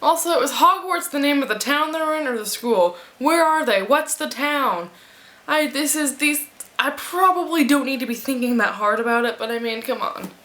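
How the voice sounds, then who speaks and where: Woman rambling into her camera at home, kinda high voice, chatty and all over the place. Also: moderate pace. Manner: chatty, rambling, animated asides. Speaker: book reviewer. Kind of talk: casual vlog review. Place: at home in front of a fan. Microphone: camera mic.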